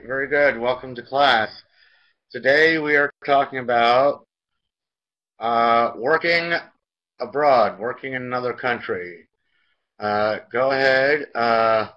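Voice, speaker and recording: An American man teaching an online language class, instructional and repeating himself as he goes. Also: medium pitch. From United States. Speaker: English teacher. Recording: dull, lo-fi online call audio.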